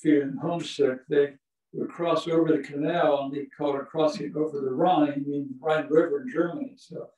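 A man's voice: a little high, fairly even intonation, normal speed, dark tone, lo-fi sound. An older man giving a chatty, anecdote-filled lecture, chuckling now and then as he tells his stories. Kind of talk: storytelling museum lecture. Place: small museum meeting room, indoors. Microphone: far camera, no visible mic. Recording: echoey room from far off.

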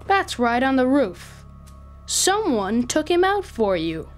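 A taunting young boy, with a high voice that swoops up and down. He needles with smug, false concern in an audio drama, faint music underneath. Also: sing-song, slow pace, exaggerated voice. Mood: needling. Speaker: actor.

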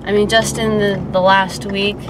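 A woman's voice: medium pitch, level tone, moderate pace. A young woman in her twenties with a slight Baltimore accent, chatting casually and upbeat as a fitness vlogger while she drives, filmed from a dashboard camera. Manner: rambling, upbeat, frequent "like" fillers. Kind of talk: casual vlog chat. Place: inside a car while driving. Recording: loud car road noise. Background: car engine and road noise.

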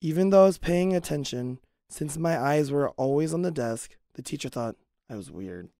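A man narrating a scripted first-person testimony in earnest, measured short phrases. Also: a little high, expressive, vocal fry, mellow, no echo, clean studio sound.

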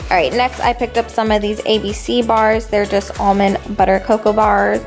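A woman's voice: mid-range voice, fairly even intonation, moderate pace, music in the background. A young adult woman talking casually and informally, in a slangy, conversational vlog style. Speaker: vlogger showing a grocery haul. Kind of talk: casual chatty vlog voice-over.

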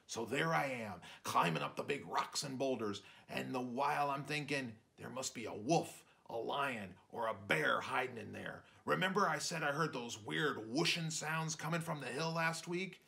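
A man's voice: medium pitch, normal speed, vocal fry, few pauses, sharp tone, voice pushed up. A man voicing an agitated rustic rabbit father in a children's storybook, talking in folksy, ungrammatical country phrases. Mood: excited, dramatic.

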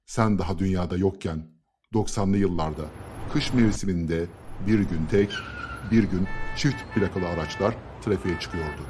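Low-voiced, kindly cartoon grandfather, played by a man. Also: normal speed, background noise, lightly acted. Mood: patient, explanatory. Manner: patient, explanatory, encouraging. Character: kindly, encouraging grandfather of a schoolgirl. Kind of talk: scripted educational cartoon dialogue.

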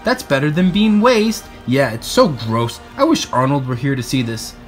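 A man voicing an excitable, easily grossed-out schoolchild from a storybook, exclaiming in an animated way. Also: mid-range voice, moderate pace, soft background music, close to an everyday voice.

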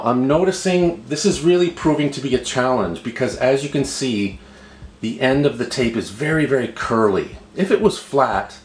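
A man with a mid-pitched voice hosts a hands-on how-to in a roomy, echoing indoor space. He explains each step patiently in an unscripted way, often restating his point. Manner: patient, explanatory, repeats himself. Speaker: host of a how-to video. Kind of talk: step-by-step tutorial narration, unscripted. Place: indoor room at a table.

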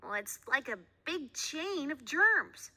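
A woman voicing an eager, curious little boy from a storybook, excitable and inquisitive. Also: high-pitched, takes it slowly, in a large hall, voice pushed up, full character voice. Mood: excited.

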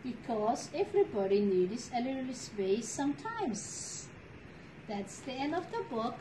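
A middle-aged woman reads a children's picture book in a fairly high voice with a very lively rise and fall. She takes her time, pausing between phrases for expression. Clear room noise sits behind her. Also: poor audio. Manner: deliberate, expressive phrasing with pauses. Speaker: storytime reader for children. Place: living room at home, indoors.

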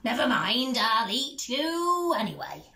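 A grumpy, hungry storybook troll growls and roars his lines, as a woman reading aloud puts him on. The voice swings widely in pitch and moves at a slow pace. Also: big pitch swings, pushed and bright, roomy sound, full character voice. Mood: gruff. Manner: gruff roaring.

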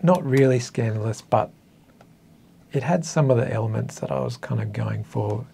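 A man's voice: mid-range voice, huge pitch swings, normal speed, gravelly, dark tone, slight background noise. An Australian man in his fifties in a casual, reflective chat, speaking hesitantly and thoughtfully, with a self-deprecating way about him. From northern coastal New South Wales, Australia. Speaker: interview guest, author. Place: small indoor meeting room.

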